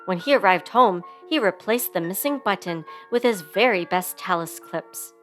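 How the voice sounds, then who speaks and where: A woman's voice: mid-range voice, lively intonation, moderate pace, fairly bright tone. A woman reading a children's story aloud expressively, giving sound words theatrical emphasis, with instrumental music behind her. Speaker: storybook reader.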